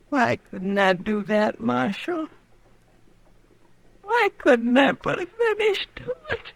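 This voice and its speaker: An actress playing a grief-stricken frontier widow in a radio western, speaking in a halting, bleak, resolute way. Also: female voice, mid-range voice, vocal fry, a bit bright, dry recording. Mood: anguished. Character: grief-stricken frontier widow confessing to killing her husband. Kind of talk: old radio western acting.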